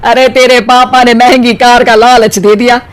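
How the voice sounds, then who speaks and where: A woman's voice: a little high, flat delivery, normal speed, noisy background, natural voice. A woman voicing a boastful, mocking middle-aged mother-in-law in a cartoon story. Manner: taunting, scornful. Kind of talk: cartoon voice acting.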